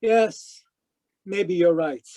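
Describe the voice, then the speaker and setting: An actor playing a hard-boiled private detective in old-time radio style, wry, clipped and matter-of-fact. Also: male voice, sits high, very slow. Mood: firm, dry. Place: home, live video call performance.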